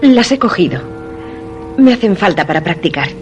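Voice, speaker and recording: An actress playing a secretive, hard wife in a Spanish radio suspense drama, terse and evasive, with a Castilian accent. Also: female voice, mid-range voice, big pitch swings, moderate pace, dull audio, music in the background, close to an everyday voice. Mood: cool, evasive. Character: secretive, hard, curt wife hiding sinister work.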